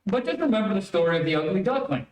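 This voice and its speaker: A man's voice: sits high, big pitch swings, rapid-fire. A man playing an exasperated, coaxing father in old-time radio comedy, with a placating, flustered manner. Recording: echoey, distant, faintly noisy stage stream.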